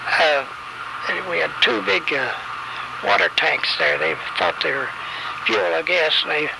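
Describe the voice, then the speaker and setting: An older man with a rural Kentucky accent, telling his memories in a plainspoken, halting, folksy way as an interviewee. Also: on the high side, vocal fry, noisy background. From Kentucky, USA. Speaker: eyewitness interviewee, war veteran. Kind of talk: unscripted personal reminiscence. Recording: radio interview over music bed. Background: background music.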